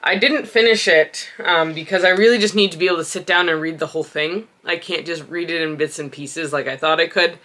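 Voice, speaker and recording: Woman host with a head cold, stuffy and sniffly, bright and forward tone, casual book talk. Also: on the low side, fairly even intonation, a little fast, hardly any pauses. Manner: chatty, thinks aloud with small self-corrections. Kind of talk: casual book chat to camera.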